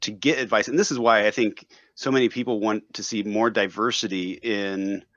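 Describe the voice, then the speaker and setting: A man's voice: fairly low pitch, steady tone, moderate pace, fairly bright tone, dry recording, highs cut off. A man speaking as a guest in a casual discussion, easygoing and thinking aloud.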